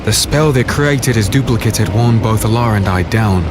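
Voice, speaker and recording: Man as a low-voiced gritty fantasy hero. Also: moderate pace, music in the background, close to an everyday voice. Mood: grim narrating. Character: defiant, battle-worn male fantasy hero and swordsman. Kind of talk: dark fantasy audio drama, narration and dialogue. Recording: loud storm noise under voice. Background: rain, thunder and storm ambience.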